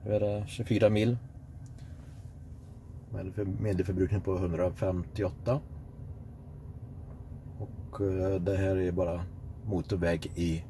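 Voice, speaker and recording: A Swedish man narrating a casual road-trip vlog, relaxed and hesitant with many fillers. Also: low-pitched, pauses often. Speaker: vlogger on a car road trip. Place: electric car on the road and at charging stops. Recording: clear car and road noise.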